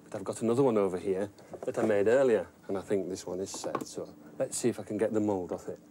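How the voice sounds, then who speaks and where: A man's voice: lowish voice, fast talker. A middle-aged man presenting for young children, thinking aloud and addressing viewers simply as he tells stories. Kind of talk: gentle scripted chat to young children. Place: wood-panelled narrowboat cabin.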